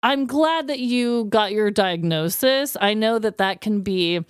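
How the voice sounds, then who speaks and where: A woman co-hosting a podcast, chatting casually and reading listener stories in an expressive, gushing, slangy way. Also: mid-range voice, a little slow, clear, resonant tone, no echo, studio quality. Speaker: podcast co-host.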